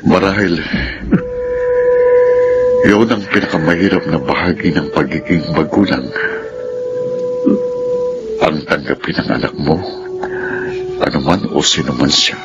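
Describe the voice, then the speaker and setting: Casting: loving, resigned older Filipino father, extremely deep voice, earnest radio-drama delivery. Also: dull audio, over loud music. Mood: tender, grave. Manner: solemn, measured, earnest.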